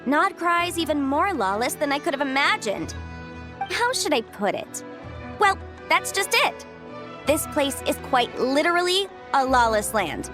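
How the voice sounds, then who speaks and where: A woman playing a cheerful young legal consultant in a video game, bubbly, chatty and expressive. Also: sits high, a little slow, over music. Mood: chatty, upbeat. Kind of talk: animated video game voice acting.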